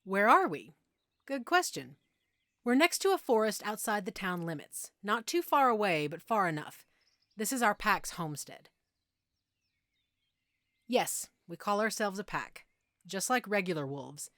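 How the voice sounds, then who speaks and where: A woman playing a middle-aged, self-assured werewolf pack alpha in an intimate audio roleplay, explaining things conversationally with measured pauses. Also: medium pitch, sing-song, fairly bright tone, no echo, studio quality. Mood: calm, frank.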